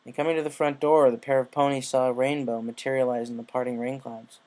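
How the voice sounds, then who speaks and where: Guy narrating a story off the page, medium pitched voice. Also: level tone, fast talker, warm tone. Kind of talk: scripted story reading.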